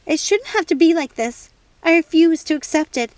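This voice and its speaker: Storybook young heroine, played by a female reader: high, urgent, distraught, defiant, recorded dry and close. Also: animated, normal speed, darkish tone, dry recording. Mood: indignant. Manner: urgent, impassioned pleading.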